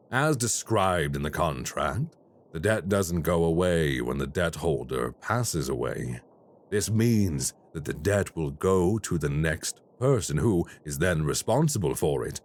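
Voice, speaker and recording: An actor playing a calm, formal male crime boss who speaks in a polite, measured, businesslike way in an audio roleplay. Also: low-pitched.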